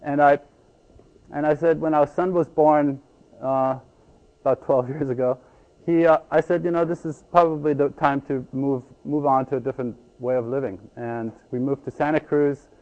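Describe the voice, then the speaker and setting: A man from Ecuador giving a conference talk as a farmer, halting with many ums and restarts. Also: on the high side, steady tone, a little mellow, muffled recording.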